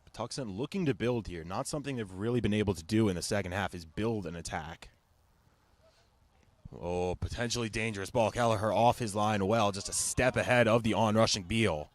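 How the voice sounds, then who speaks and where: Low-voiced male soccer play-by-play commentator. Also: fairly quick, no room sound. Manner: clipped, fragmentary calls of the action. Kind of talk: live television sports commentary.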